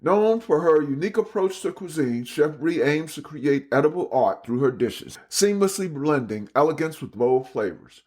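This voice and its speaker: Lively-voiced male podcast host. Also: a little high. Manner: halting, restarts when asking questions. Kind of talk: reading an intro, then casual interview.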